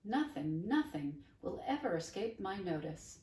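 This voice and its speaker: A man's voice: sits high, very animated, unhurried, darkish tone. A woman voicing an effusive boy who talks in long, formal sentences, read aloud in a roomy space from a distance. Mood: grandiloquent. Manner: formal, elaborate speech. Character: effusive, wordy boy newly able to see. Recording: roomy, distant mic, faint hiss.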